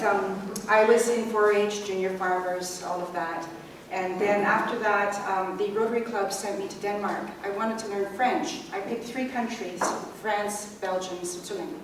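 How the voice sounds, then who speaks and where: Canadian woman speaking in a very echoey room. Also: mid-range voice. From rural Canada. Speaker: election candidate. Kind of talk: unscripted campaign speech at a public debate. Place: indoor public meeting room. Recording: echoey room, distant mic, faint noise.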